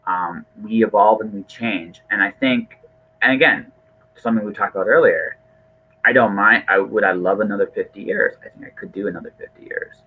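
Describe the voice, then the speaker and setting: Middle aged podcast guy, kinda husky, chatting earnestly and repeating himself, thin phone-like sound. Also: lowish voice, fairly quick. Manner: rambling, repeats words, earnest. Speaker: podcast host. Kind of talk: casual conversational interview.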